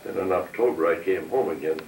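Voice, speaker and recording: A man's voice: sits low, brisk, a little mellow. An elderly man from North Dakota, recounting his life in a plain, matter-of-fact way. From North Dakota, United States. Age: 70s or older. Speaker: interviewee, war veteran. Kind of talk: oral history recollection. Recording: roomy, distant microphone, background hiss.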